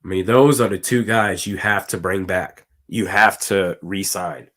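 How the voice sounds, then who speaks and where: A man with a slightly raspy, hoarse voice, giving casual live commentary on a football game and reading out viewer comments. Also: sits low, fairly even intonation, unhurried, fairly bright tone. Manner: casual, talks to chat, reads comments aloud. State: hoarse from screaming. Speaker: watch party streamer.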